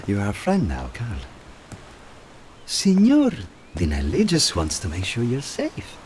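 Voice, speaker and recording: Slow, slippery Mafia go-between. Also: male voice, mid-range voice, huge pitch swings, darkish tone, slight background noise. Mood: oily friendliness. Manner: oily, drawn-out, chuckling. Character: slippery, falsely friendly male Mafia go-between. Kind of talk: cinematic game voice acting.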